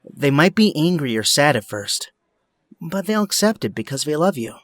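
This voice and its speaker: A man acts an upbeat young male hero and supportive friend in an audio drama. His highish voice moves animatedly, encouraging, with no room sound at all. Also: very animated, moderate pace, no room sound. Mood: friendly, encouraging.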